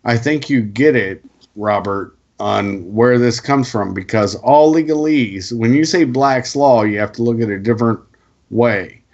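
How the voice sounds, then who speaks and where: A male co-host giving opinionated casual talk, explaining emphatically with halting pauses over a lo-fi connection. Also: mid-range voice, darkish tone. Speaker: co-host putting questions to the guest.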